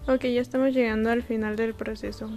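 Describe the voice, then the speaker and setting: Young woman artist, relaxed chatty voice-over, fairly high pitch. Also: a little fast, faint music behind. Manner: relaxed, chatty, self-deprecating. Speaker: artist narrating a painting process. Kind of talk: casual voice-over narration.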